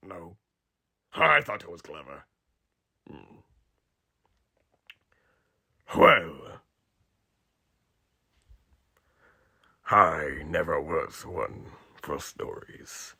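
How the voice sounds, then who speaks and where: A sinister, aging male killer living inside a haunted animatronic. His voice is husky and gravelly and swings widely as he rambles theatrically. He speaks to his listener in a slow, mock-friendly roleplay monologue. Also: very deep voice, very animated, sharp tone, pitched below the performer's own voice, full character voice. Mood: theatrical, menacing. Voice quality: raspy, gravelly.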